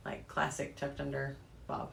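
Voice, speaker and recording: A woman's voice: a bass voice, completely flat delivery, normal speed, vocal fry, fairly bright tone. A middle-aged American woman co-hosting a podcast in casual, bantering conversation. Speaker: podcast co-host. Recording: echoey room, faint background noise.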